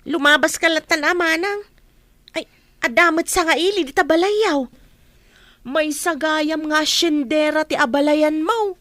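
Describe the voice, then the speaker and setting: A woman's voice: high voice, unhurried, a bit bright, no room sound, studio quality. A woman with an Ilocano Filipino accent acting a meddlesome, easily offended village mother in a radio drama, exclaiming and questioning. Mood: nosy, excited.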